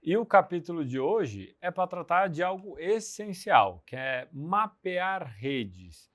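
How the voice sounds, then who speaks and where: A man's voice: on the high side, slow pace. Upbeat Brazilian podcast host man. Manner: energetic, conversational, leading questions. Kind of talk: podcast interview.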